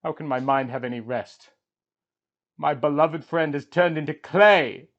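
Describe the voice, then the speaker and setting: A man's voice: a little high, unhurried, lo-fi sound. A man voicing an anguished, proud wandering king in an impassioned lament, as part of a storytelling reading.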